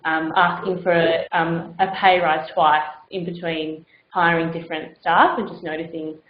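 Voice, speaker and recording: Woman centre coordinator, low-pitched, hesitant with fillers; echoey, dull room recording. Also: steady tone, moderate pace. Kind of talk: workshop participant feedback.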